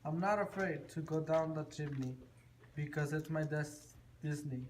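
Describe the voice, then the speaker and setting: Man playing boastful lazy puppet wanderer, higher voice. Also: normal speed, a little mellow, close to an everyday voice. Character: Marco, a lazy, boastful wandering male thinker. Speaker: puppet show performer. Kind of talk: amateur rhymed puppet show acting. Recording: noisy amateur recording with clicks.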